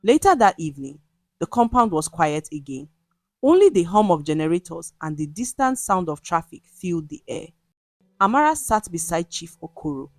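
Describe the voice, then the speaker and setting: A woman's voice: lowish voice, no room sound. A woman storyteller reading a scripted folktale in a dramatic narrative style. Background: music.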